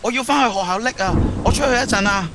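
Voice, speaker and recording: A man's voice: high voice, fast, in a large hall, background noise, lightly acted. A man playing a lovesick, torn teenage schoolboy in an audio drama, with earnest, emotional delivery. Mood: urgent. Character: lovesick, torn teenage schoolboy who has travelled back in time. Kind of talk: Cantonese audio drama acting.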